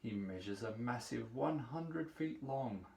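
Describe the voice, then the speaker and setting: A man reading a rhyming picture book aloud for young children in a measured, playful storytelling manner. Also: medium pitch, expressive, gravelly, a little mellow, a little hiss or hum. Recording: roomy echo, distant microphone.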